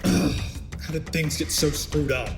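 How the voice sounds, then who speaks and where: A man acting a gruff, short-tempered spaceship captain. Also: on the high side, expressive, takes it slowly, over loud music, exaggerated voice. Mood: exasperated. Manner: terse, irritable. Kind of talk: dramatic audio drama acting.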